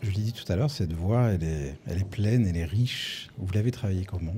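A man's voice: deep voice, brisk, mellow. A man interviewing a singer, asking long, reflective and detailed questions about her voice. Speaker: radio interviewer.

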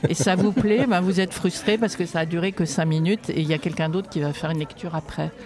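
A woman's voice: on the low side, fairly even intonation, fairly quick, darkish tone. A woman poet and workshop leader answering interview questions in a reflective, light-hearted way.

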